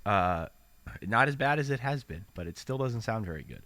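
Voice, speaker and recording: A man's voice: on the low side, expressive, moderate pace, fairly bright tone, dry recording. A man hosting a casual podcast chat, sounding congested, rambling and correcting himself as he talks. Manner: rambling, self-correcting, laughs often. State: congested after illness. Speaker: podcast host.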